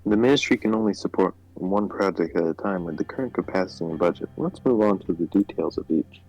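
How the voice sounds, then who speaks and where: Man reading as a gravelly, low government minister. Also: fast, darkish tone, soft background music, low-quality recording. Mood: earnest, businesslike. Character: formal male government minister briefing his president. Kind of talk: amateur voiced read of game dialogue.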